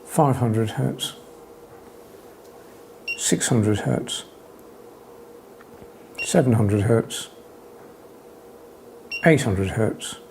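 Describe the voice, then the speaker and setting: A man giving a hobbyist's spoken equipment demonstration, calling out readings in a measured, deliberate, matter-of-fact way. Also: medium pitch, expressive, gravelly, a little mellow, slight background noise.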